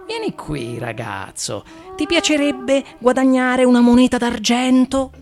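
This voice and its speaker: A man's voice: extremely high pitch, very animated, measured pace, faint music behind, voice pushed up, exaggerated voice. A man voicing an impatient, bullying sorcerer in a storybook tale, with a commanding, urgent manner. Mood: coaxing. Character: impatient, bullying mysterious male sorcerer with piercing eyes.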